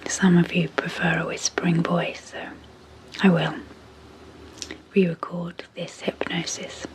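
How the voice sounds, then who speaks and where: A woman speaks in a soft, breathy near-whisper, on the low side. Her delivery is very slow and very even, with long pauses between short phrases, in a gentle ASMR hypnosis style. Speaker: psychologist hosting an ASMR channel. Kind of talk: soft-spoken ASMR sleep hypnosis introduction.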